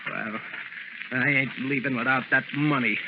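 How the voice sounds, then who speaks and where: A man's voice: medium pitch, steady tone, normal speed, close to an everyday voice. An actor playing a griping, vengeful, nervous male convict with slangy speech in an old radio drama. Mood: surly. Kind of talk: 1930s radio drama acting. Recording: muffled, very noisy vintage radio recording.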